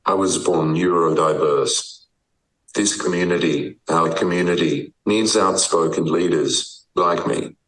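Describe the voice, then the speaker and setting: An eighteen-year-old man speaking through text-to-speech software with an Australian accent, in a measured, proclaiming synthetic delivery. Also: low voice, roomy sound, far from the mic, low-quality recording. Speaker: guest, advocate. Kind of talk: typed text read aloud by speech software.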